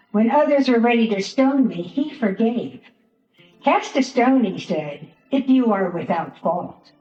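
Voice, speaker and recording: A woman playing a grieving, once-shamed follower of Jesus in a Passion drama, halting and sorrowful. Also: on the high side. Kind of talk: dramatic monologue in a church Passion play. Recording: echoey, slightly dull, music behind.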